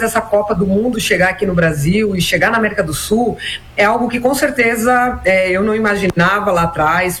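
A woman in her forties from Brazil, a former national team player answering interview questions in a measured, reflective way with many small fillers. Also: medium pitch. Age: 40s. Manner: measured, frequent "né" and "então" fillers.

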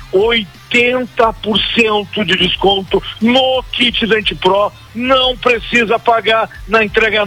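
A man in his sixties does a live radio sales pitch, pushing the offer and repeating a phone number over and over. His voice is high and bright but husky, with soft music under him. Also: rough recording. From Goiânia, Brazil. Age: 60s. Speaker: product pitchman. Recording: radio broadcast with music behind.

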